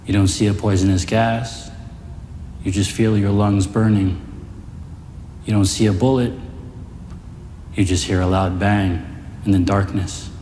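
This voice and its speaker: Man acting as a slow, echoing villainous mastermind. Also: lowish voice, fairly even intonation, lightly acted. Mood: quiet menace. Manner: measured, lecturing, quietly superior. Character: calm, condescending male mastermind of evil. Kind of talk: dramatic villain monologue. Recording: echoey hall-like reverb, faint noise.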